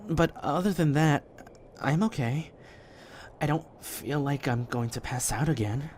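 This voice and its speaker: A man playing a mild-mannered injured young man in an amateur audio drama, halting and subdued. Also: fairly high pitch, a little mellow, slight background noise, natural voice. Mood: weary, reassuring.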